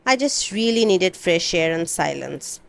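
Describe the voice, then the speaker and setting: A woman reading a first-person novel aloud in an expressive, dramatic amateur audiobook style. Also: lowish voice, a little slow, vocal fry. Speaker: amateur book reader.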